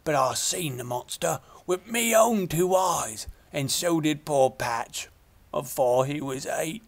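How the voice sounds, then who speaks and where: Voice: medium pitch, expressive, takes it slowly. A reader voicing a tearful, simple old male shepherd who speaks in a rustic country dialect. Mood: mournful.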